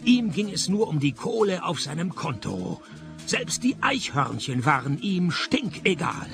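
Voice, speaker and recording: An actor playing a sardonic, weary private detective, narrating a comic hard-boiled radio play in a deadpan manner. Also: male voice, a little high, a little slow, gravelly, over music, heavily acted. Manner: deadpan, dry wit. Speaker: narrator and main character.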